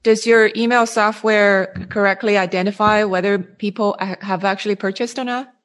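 A woman's voice: mid-range voice, fairly even intonation, moderate pace, a bit bright. A woman interviewing someone, asking questions in a conversational way and checking what she has understood.

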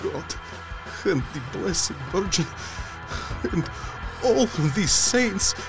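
An actor playing a desperate, devout ship captain, halting and solemn, weakening but resolute, in a dramatic audio drama monologue. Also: male voice, high-pitched, lively intonation, music in the background, full character voice, low-quality recording. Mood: weak, pleading.

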